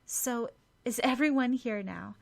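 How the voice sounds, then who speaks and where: A woman's voice: on the high side, measured pace, vocal fry, voice pushed up. A woman voicing an earnest, caring teenage heroine in an anime-based audiobook story. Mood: concerned.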